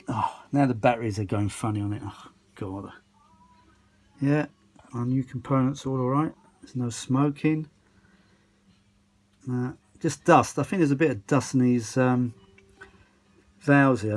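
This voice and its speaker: A man with a British accent talks at a middle pitch in a casual, unscripted way. He presents like a hobbyist at the workbench, rambling along and muttering asides to himself as he goes. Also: normal speed, pauses often, a little mellow. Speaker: hobbyist repairer presenting a video. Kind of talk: casual unscripted running commentary while working. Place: home kitchen workshop. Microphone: handheld phone.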